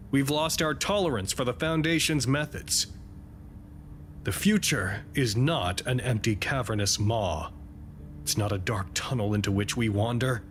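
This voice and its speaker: A man acting in an audio drama as a resolute, hopeful leader making a formal, measured, earnest address. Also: medium pitch, close to an everyday voice. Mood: solemn, hopeful. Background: music.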